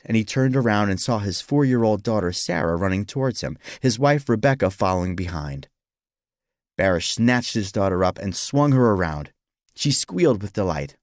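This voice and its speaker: A man's voice: deep voice, no echo, clean studio sound. A man reading scripted historical fiction as a dramatic audiobook narrator.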